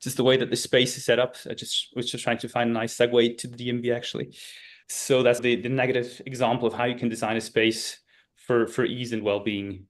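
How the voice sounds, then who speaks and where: Man, flat monotone, thoughtful, restarts sentences, webinar guest. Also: mid-range voice, fairly quick. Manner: thoughtful, searching for words. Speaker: guest speaker. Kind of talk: webinar Q&A explanation. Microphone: video call.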